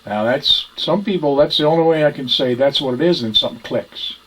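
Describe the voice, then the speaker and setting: A man teaching ventriloquism informally, explaining things in a halting, searching way. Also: a little high, a bit bright, husky, poor audio. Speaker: ventriloquism instructor. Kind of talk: informal instructional talk.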